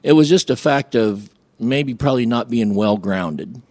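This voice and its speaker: An American man from Illinois speaking as a radio guest, telling personal stories in a casual, halting, folksy way. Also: medium pitch, expressive, darkish tone, dry recording. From Illinois, United States.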